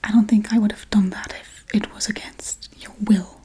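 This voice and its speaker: A woman playing a scatterbrained, bossy witch in a whispered ASMR role-play, with breathy, casual, deadpan delivery. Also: mid-range voice, moderate pace. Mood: matter-of-fact. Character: scatterbrained, bossy Halloween witch tending her captive.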